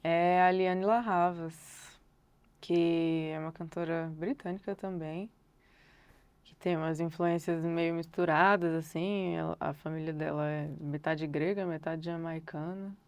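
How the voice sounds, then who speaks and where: A young Brazilian woman, a singer-songwriter, answering interview questions thoughtfully and unhurriedly, often pausing on filler words. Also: low voice, steady tone, clean tone, no room sound. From Brasília, Brazil.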